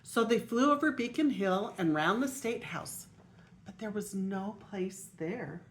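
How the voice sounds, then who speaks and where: An older woman, a grandmother, reading a children's picture book aloud in a deliberate way with emphasized story words. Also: mid-range voice, expressive. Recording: faint noise on homemade video.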